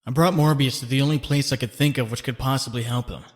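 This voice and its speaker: A man's voice: medium pitch, fairly even intonation, fast, breathy edge. A man voicing an earnest young superhero who also narrates, in a fan comic dub. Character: young male web-swinging hero, married. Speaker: narrator and lead voice actor.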